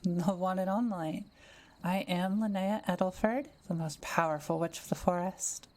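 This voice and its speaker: A woman playing a kind, welcoming young witch who lives alone in the forest, speaking gently and playfully to the listener in a roleplay audio drama. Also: lowish voice, natural voice. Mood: curious, welcoming. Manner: gentle, unhurried, softly playful.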